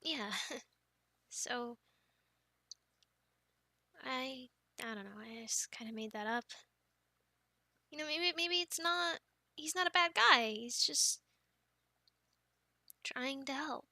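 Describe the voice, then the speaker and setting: Bright, high-voiced young woman, ASMR roleplay. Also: takes it slowly, pauses often, dry recording. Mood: playful chat. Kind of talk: ASMR roleplay, whispered storytelling and casual chat.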